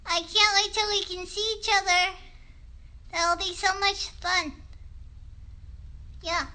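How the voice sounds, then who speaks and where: Squeaky, very high little girl puppet. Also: fairly even intonation, sharp tone, full character voice. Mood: affectionate. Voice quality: childlike squeaky voice. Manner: halting, repetitive childlike phrasing. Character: excitable, sweet, sensitive little girl puppet. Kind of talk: children's puppet show livestream. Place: bedroom. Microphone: phone a short distance away.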